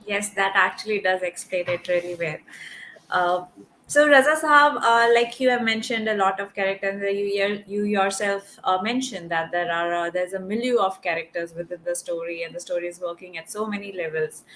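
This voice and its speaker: A woman moderating a virtual book discussion, asking questions politely with some hesitant pauses. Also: mid-range voice, a bit bright, slight background noise.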